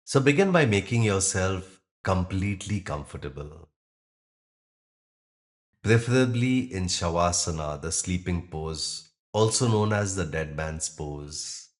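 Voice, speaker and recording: A man leading a guided yoga nidra meditation, speaking calmly and gently in short, unhurried phrases with pauses. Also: sits low. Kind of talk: guided meditation, slow instruction with long pauses.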